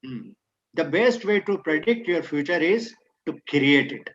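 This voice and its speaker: A man's voice: a little high, expressive, a little slow, fairly bright tone, dull audio. A man whose first language is Hindi, speaking Indian English and mixing in Hindi, giving a motivational talk on leadership that states maxims and then explains them. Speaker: guest speaker.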